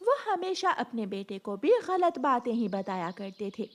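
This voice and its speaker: A woman's voice: fairly high pitch, very animated, measured pace. A woman narrating a scripted cartoon story as a storyteller.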